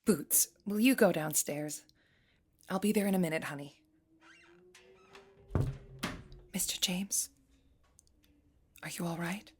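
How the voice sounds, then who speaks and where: A woman's voice: lowish voice, measured pace, background noise, lightly acted. An actress playing a kindly guest-house keeper and protective mother in an audio drama, polite and reassuring. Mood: concerned.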